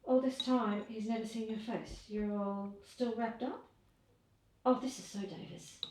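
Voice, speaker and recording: A woman's voice: a little high, steady tone, measured pace, a little mellow, roomy sound, far from the mic, close to an everyday voice, rough recording. A woman reading the part of a curious, astonished woman asking questions in a comedy scene, off camera in a room. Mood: curious, surprised. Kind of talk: reading scene lines opposite an actor. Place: indoor room, away from the mic.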